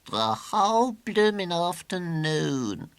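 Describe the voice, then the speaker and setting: A cautious, sardonic street-gang boy, played by a man in a children's audiobook. The voice is adenoidal and gravelly, with lively rises and falls. He sounds glum and regretful. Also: sits high, slow, no room sound, voice pushed up, full character voice. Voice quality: adenoidal, nasal.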